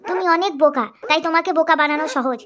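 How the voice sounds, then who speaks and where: A woman doing a sharp-tongued young wife. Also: high-pitched, fast talker, noisy background, natural voice. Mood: scolding. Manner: cheeky, scolding, outspoken. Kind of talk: cartoon voice acting.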